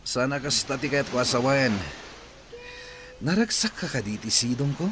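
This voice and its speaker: A man's voice: medium pitch, expressive, moderate pace, a bit bright, a little hiss or hum, lightly acted. An actor playing an easygoing village man, pleased with his lot, in an Ilocano radio drama with an Ilocano accent.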